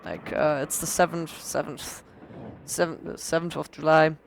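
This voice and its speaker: A hoarse woman casually rambling through gameplay commentary as a let's play creator, self-deprecating with many fillers. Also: deep voice, fairly even intonation, measured pace, a little hiss or hum.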